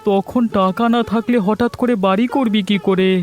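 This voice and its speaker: A man's voice: high-pitched, steady tone, moderate pace, close to an everyday voice. A man voicing a cunning, greedy village fish trader in a cartoon story, falsely friendly and pushy in his persuasion. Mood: scornful urging. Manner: persuasive, pushy, smooth-talking. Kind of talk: Bengali cartoon story voice acting. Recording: music bed under the voice.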